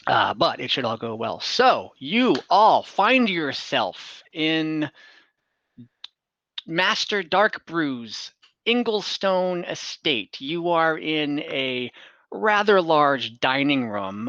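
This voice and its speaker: Male game master, bright and forceful, thoughtful pauses, close dry video-call sound. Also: medium pitch, no room sound. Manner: halting, pausing to think as he describes scenes. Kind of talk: narrating an online tabletop game. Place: indoor room on a group video call. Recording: dull video-call audio.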